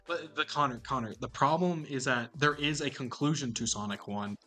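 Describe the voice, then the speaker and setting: A man's voice: medium pitch, normal speed, pushed and bright, soft background music. A man co-hosting a casual gaming podcast, pushing back in debate and wrapping up the episode. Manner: argumentative, wraps up the episode. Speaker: podcast co-host.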